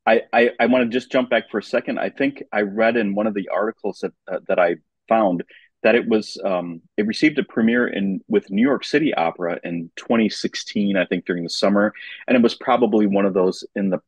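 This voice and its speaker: A man's voice: lowish voice, brisk, husky, dry recording, poor audio. An older man, a retired voice professor, chatting casually as an interview guest.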